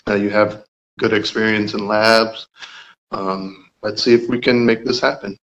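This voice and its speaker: A young man from Georgia, a lab technologist answering interview questions calmly, pausing often with "um". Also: lowish voice, muffled recording. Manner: unhurried, measured, with pauses and "um"s.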